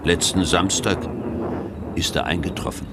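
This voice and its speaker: An actor playing a zealous, self-satisfied pastor in a radio drama, confiding his account in a halting, theatrically pious way, with some background noise. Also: deep voice, fairly even intonation, close to an everyday voice. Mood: earnest, insinuating. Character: zealous, self-satisfied male pastor recounting divine calling.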